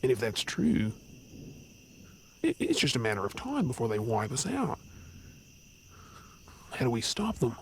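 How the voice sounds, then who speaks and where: Agitated young werewolf guy, wildly swooping pitch actor. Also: wildly swooping pitch, measured pace, pauses often. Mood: shocked, anxious. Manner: blunt, agitated, sarcastic, casual swearing. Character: anxious young male werewolf, blunt, sweary, out of his depth. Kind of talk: audio roleplay acting. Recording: background noise with chirping crickets.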